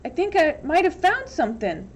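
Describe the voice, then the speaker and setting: A woman's voice: very high-pitched, huge pitch swings, moderate pace, a little hiss or hum, voice pushed up, exaggerated voice. A woman reading a storybook voices an eager teenage boy calling out at a dig camp. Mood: excited shouting.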